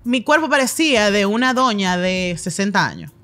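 A Dominican chef in her thirties, telling stories as a guest in a podcast studio, talking with lively gestures and quick asides. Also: mid-range voice, soft background music. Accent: Dominican Spanish. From Dominican Republic. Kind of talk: casual interview storytelling. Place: podcast studio with brick walls and plants. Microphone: studio mic on a boom arm.

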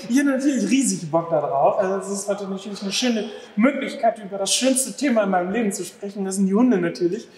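A man in his thirties, originally from Afghanistan, talking in a lively, animated way as an interview guest, acting out little scenes. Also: sits high, fast talker, hardly any pauses, slight background noise. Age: 30s. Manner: lively, animated, acts out dog owners' exclamations. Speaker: dog behavior therapist, guest. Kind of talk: casual interview talk. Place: large empty restaurant hall, indoors. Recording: echoey hall.

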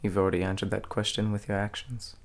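A young man with a fairly low, heavily creaky voice plays a menacing, arrogant gang leader in an ASMR role play. His delivery is slow, hushed and deliberate, with very little movement in pitch. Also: level tone. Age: young adult. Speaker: ASMR performer. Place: small dim room indoors.

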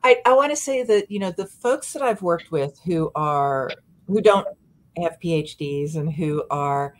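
A woman's voice: fairly low pitch, measured pace. An older woman, a dog behavior consultant, chatting earnestly and self-deprecatingly on a video call from a room at home. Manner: earnest, self-deprecating, gestures while talking. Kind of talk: casual video-call chat.

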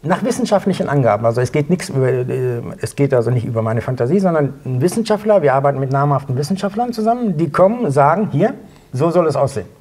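A middle-aged man answering interview questions casually, in a plain-spoken, matter-of-fact way with a husky, gravelly voice. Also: fairly high pitch, expressive, fast talker, a little mellow. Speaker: interview guest, sculptor and illustrator. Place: small indoor talk-show studio.

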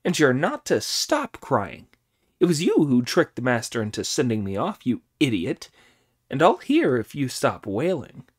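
A man voicing a brash, cheeky monkey king who talks high and loud in an audiobook. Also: huge pitch swings, a little slow, slightly rough, exaggerated voice. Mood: mocking. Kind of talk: audiobook character voice.

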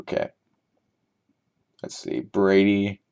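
Young male game host, lowish voice, loose rambling commentary with laughs, desk mic. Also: fairly even intonation, takes it slowly, creaky, a little mellow, smooth. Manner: chatty, rambling, laughs often, thinks aloud. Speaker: trivia giveaway host. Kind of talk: casual gameplay commentary.

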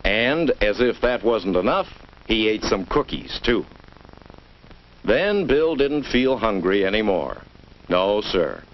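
Dull vintage film soundtrack, American man, slow pace, very varied intonation, 1950s educational narration, measured, addressing a child. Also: fairly low pitch, very animated, slight background noise. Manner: measured, addresses the child directly with rhetorical questions.

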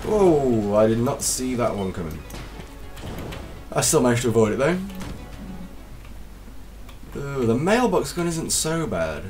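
A man with a slight cold, casually commentating his gameplay as a let's play host, excitable and self-deprecating. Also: medium pitch, measured pace, long pauses, far from the mic, faint music behind.

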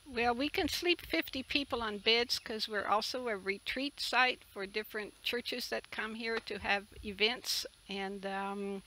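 A woman in her seventies from the Netherlands, a native Dutch speaker, giving a halting, earnest personal testimony. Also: fairly high pitch, bright, forceful tone, rough recording. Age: 70s. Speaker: interviewee, retreat camp owner. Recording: clear background noise, outdoor ambience.

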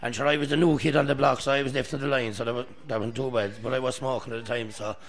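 A middle-aged Irish man, a former footballer, telling stories with a laugh in a busy pub on a boom mic. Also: fairly even intonation, quick pace. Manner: gravelly, laughing storyteller. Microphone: studio mic on boom.

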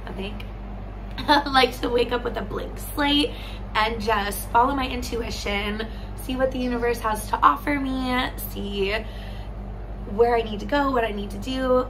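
A woman in her thirties, from California, chatting to camera on a balcony for her travel vlog, her voice a little hoarse, laughing often. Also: on the high side, background noise. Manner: bubbly, laughs often, lively hand gestures. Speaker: travel vlogger. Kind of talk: casual chat to camera. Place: sunny balcony outdoors.

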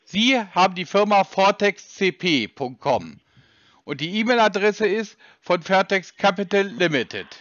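A man with a notably high voice talks on a phone call. He is insistent and pedantic, often complaining, in a dry, slightly lo-fi recording. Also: animated, a little slow, fairly bright tone, no room sound. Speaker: scambaiter on a prank call. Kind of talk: phone conversation. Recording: dry, slightly dull lo-fi sound.

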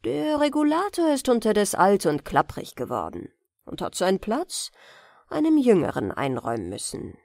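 A woman reading fairy tales as an audiobook narrator, with an expressive storytelling delivery. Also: medium pitch, moderate pace, gravelly, no echo, studio quality. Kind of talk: bedtime fairy-tale reading, scripted narration.

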